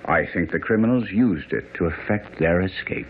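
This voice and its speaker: Shrewd gentleman detective, lowish voice, lively intonation, self-assured, radio play, narrow tinny sound. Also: normal speed. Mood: dry, assured. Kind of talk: radio drama acting. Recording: tinny radio broadcast with faint noise.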